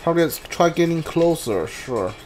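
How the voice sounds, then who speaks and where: A man doing casual playthrough commentary, halting and a bit puzzled as he reads game text aloud. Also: fairly high pitch, lively intonation, a little mellow. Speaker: gameplay streamer. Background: game music.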